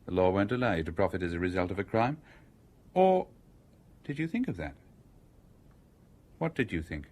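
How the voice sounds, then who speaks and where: An actor with a British accent playing an inquisitive, composed engineer in a radio drama, urbane and conversational. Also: male voice, low voice, big pitch swings, moderate pace, a little mellow. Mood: probing. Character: inquisitive, coolly composed stranger, a male engineer.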